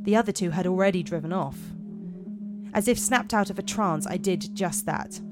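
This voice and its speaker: A woman's voice: lowish voice, moderate pace, over music, lightly acted. A woman putting on an American accent to narrate a frightened first-person horror story. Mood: tense, eerie. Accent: attempted American. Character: narrator of a creepy campfire forest monster story, frightened young American.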